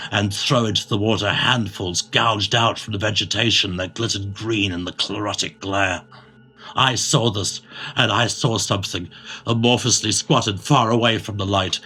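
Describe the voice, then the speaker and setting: A man giving a theatrical, dramatic narration of a gothic horror tale, phrasing it deliberately, with music behind him. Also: fairly low pitch, pushed and bright, breathy edge.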